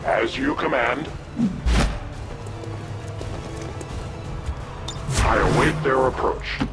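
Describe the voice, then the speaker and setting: An actor playing a proud, stern warrior in a sci-fi video game, speaking formally and declaiming. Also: male voice, mid-range voice, moderate pace, natural voice. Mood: obedient, stern. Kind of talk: dramatic game dialogue. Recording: loud music behind game dialogue.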